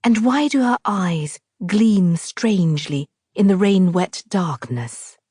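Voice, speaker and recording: Female audiobook storyteller, deliberate and measured, lowish husky voice, recorded very dry. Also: expressive, mellow, no room sound. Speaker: author narrating her own audiobook. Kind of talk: scripted literary narration, storytelling.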